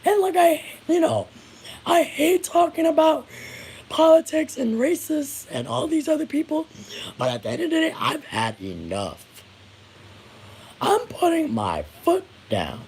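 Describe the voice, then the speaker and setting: A woman's voice: high voice, huge pitch swings, measured pace, a little mellow, slight background noise, low-quality recording. A woman with an American accent giving a casual opinion rant to viewers, blunt and indignant, swearing freely. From United States. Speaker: vlogger.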